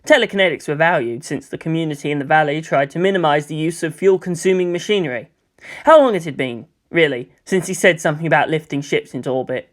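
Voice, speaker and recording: A man's voice: fairly high pitch, fast. A man reading scripted fiction aloud as a storyteller, in the style of an audiobook narrator.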